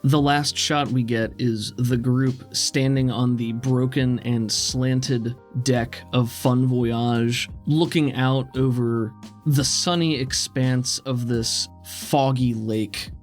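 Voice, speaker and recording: A man's voice: mid-range voice, steady tone, slow pace, faint music behind. A man running a tabletop role-playing game as game master, narrating scenes in a descriptive, cinematic way.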